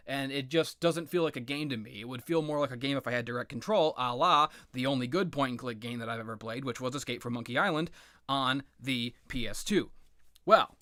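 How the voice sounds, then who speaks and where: A man with a bright, forward voice at an ordinary middle pitch, recorded close and dry. He rambles casually through game commentary, swearing freely and putting himself down in a sardonic, exaggerated way. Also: no room sound. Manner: self-deprecating, sweary, sardonic. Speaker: gaming commentator.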